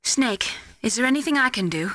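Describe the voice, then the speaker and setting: A woman's voice: fairly high pitch, animated, brisk, close to an everyday voice. An actress playing an earnest female scientist in a video game, questioning and probing in a dramatic style. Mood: concerned.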